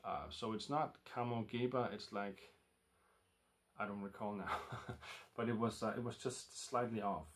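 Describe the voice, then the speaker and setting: A man with a somewhat low-pitched voice talks casually at home. He speaks haltingly, with frequent ums, uhs and restarts. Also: noisy background. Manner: hesitant, many ums and restarts. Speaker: record collector vlogger. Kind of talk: casual talk to camera. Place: home room lit by a lamp.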